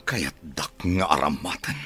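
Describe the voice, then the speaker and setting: A man's voice: extremely low pitch, lively intonation, measured pace, pushed and bright, soft background music, full character voice. An actor playing a hot-headed, aggrieved grown son of a rich mother in an Ilocano radio drama. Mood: angry, hurt.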